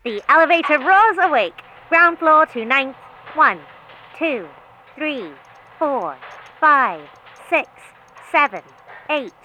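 A woman's voice: sits high, expressive, slow. A woman voicing an observant, gossipy elevator camera in an audio drama, reporting precisely what she sees. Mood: observant, curious. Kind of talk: scripted audio drama narration. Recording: faint noise behind the voice.

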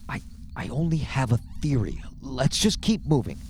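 A man's voice: medium pitch, very animated, normal speed, a little mellow, a little hiss or hum. A man playing an anxious, earnest scientist in an audio drama, speaking hesitantly and with urgency. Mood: tense.

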